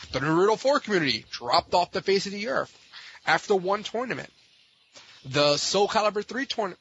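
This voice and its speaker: A man with a forceful, rough-edged voice gives his opinions as a podcast guest. He is blunt and emphatic, pressing his listeners in casual speech. The recording is dry and somewhat dull. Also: a little high, no room sound. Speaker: podcast guest, gaming tournament organizer. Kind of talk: impassioned casual opinion talk.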